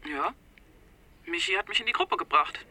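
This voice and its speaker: A woman's voice: fairly low pitch, expressive, moderate pace, pushed and bright, slight background noise. An actress playing a defensive, earnest female activist in a radio crime drama.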